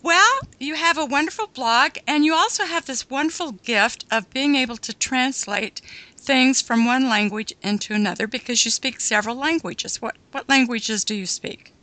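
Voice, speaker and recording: A woman hosting a talk radio interview, speaking in a measured way with frequent pauses and fillers. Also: sits high, sharp tone, no echo, rough recording. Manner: measured, halting with many fillers. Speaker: radio host.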